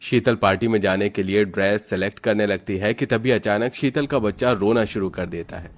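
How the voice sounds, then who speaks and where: Guy narrating a cartoon village tale, scripted storyteller, voice a bit on the low side. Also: fairly quick.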